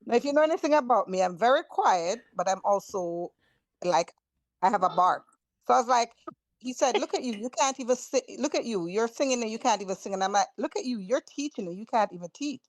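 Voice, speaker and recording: A middle-aged woman with a Jamaican accent and a naturally hoarse voice, casually telling a school memory as a guest. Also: a little high, expressive, a little fast, dry recording. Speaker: guest, former student. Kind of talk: casual storytelling on a video call.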